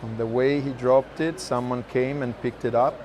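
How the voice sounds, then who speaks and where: Casting: male host, medium pitch, casual appreciative interviewer thinking aloud, with urban noise behind him. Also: fairly even intonation, normal speed. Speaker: host, curator. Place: art fair, on the move. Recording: outdoor-like urban background noise.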